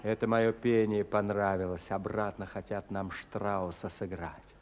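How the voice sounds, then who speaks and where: A man's voice: on the low side, level tone, a little slow, a little mellow, long reverb tail, lightly acted. An actor playing a plucky, wry male soldier in a wartime radio play. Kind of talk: Russian radio play acting. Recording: dull radio play sound over music.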